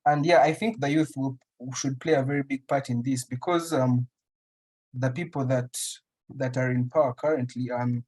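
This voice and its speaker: A young man from Uganda answers questions in an interview. His voice sits at a middle pitch, and he pauses often, restarting his sentences as he thinks aloud. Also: fairly even intonation, a little mellow, low-quality recording. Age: young adult. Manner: thoughtful, many restarts and fillers. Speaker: interview guest, writer.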